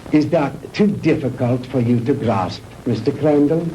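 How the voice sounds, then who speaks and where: An actor playing a grandiose older Nazi scientist in a 1960s B-movie, lecturing pompously and deliberately. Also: male voice, mid-range voice, mellow, lo-fi sound, natural voice. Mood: smug lecturing. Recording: hissy old 1960s film soundtrack.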